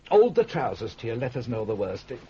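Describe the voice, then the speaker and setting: An actor in a radio drama playing a domineering, scornful, hard-hearted businessman brother, irascible and curt, with a rough husky voice. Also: mid-range voice, very animated, fairly quick, dark tone, a little hiss or hum, lightly acted. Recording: dull old radio recording.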